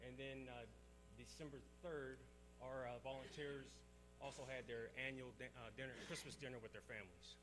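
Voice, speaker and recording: A man gives a fire department report to a town council. His delivery is halting and full of fillers and restarts. Clear noise and hum sit under the meeting-room recording. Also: mid-range voice, steady tone.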